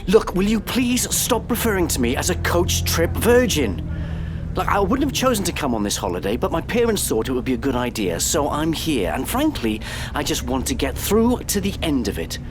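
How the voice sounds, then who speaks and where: An actor playing a reluctant, exasperated middle-class doctor in an audio play, speaking in a stiff, hesitant, polite way. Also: male voice, very animated, normal speed, music in the background. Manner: hesitant, terse, weary. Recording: noisy, car rumble behind.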